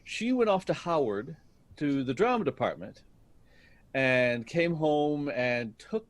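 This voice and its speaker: A middle-aged American man telling stories about his life in a reflective interview, speaking in a deliberate, halting way. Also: fairly high pitch, very animated. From United States, Detroit area. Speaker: guest, stage actor.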